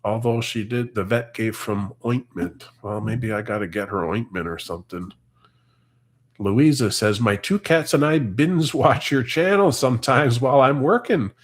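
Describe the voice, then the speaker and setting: A man's voice: medium pitch, moderate pace. A Canadian man in his forties or fifties with a Canadian accent, hosting a farm livestream and reading out viewers' comments in a chatty, easygoing way. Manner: chatty, easygoing, laughs often. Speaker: farm channel host reading live comments. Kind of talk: casual livestream chat.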